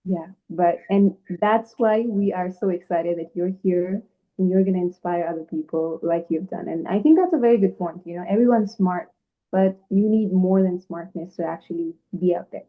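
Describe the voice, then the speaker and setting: A woman's voice: on the low side, moderate pace. Woman, interview host, bubbly, enthusiastic, filler-heavy, tinny phone-like audio. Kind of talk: casual interview hosting. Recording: tinny phone-like call line.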